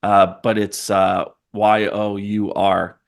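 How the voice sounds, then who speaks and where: A man's voice: lowish voice, flat delivery, slow, creaky. Thoughtful, rambling man hosting a podcast. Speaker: podcast host. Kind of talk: casual conversation.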